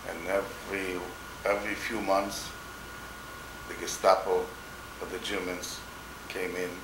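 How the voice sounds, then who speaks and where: An older man from Poland giving oral history testimony in a slow, halting way, often repeating words. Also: deep voice, flat delivery. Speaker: interviewee, Holocaust survivor. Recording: echoey room with background noise.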